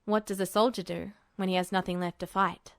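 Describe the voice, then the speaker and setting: A woman voicing a pensive, cryptic teenage boy soldier in a story, speaking in cold, deliberate, clipped phrases. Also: on the low side, level tone, creaky, no echo, clean studio sound, voice pushed down. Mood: cold, probing. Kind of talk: audiobook character dialogue.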